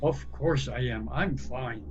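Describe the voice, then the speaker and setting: An older actor in an amateur audio play playing a stubborn, dismissive husband who insists on driving. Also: male voice, mid-range voice, slow pace, highs cut off, over music, natural voice. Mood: defensive. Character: stubborn older husband Jack, a failing driver in denial.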